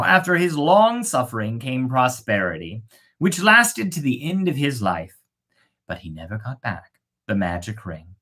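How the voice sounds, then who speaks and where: Husky, very animated storyteller. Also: mid-range voice. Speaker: storyteller and host. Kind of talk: expressive fairy-tale storytelling with casual asides.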